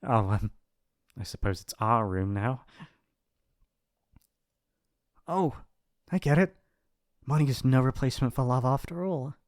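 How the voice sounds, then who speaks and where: A man's voice: medium pitch, lively intonation, normal speed, dry recording, studio quality, lightly acted. A man playing a possessive, lovestruck and shy mafia boss's son in an intimate, earnest romantic ASMR roleplay. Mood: eager, doting.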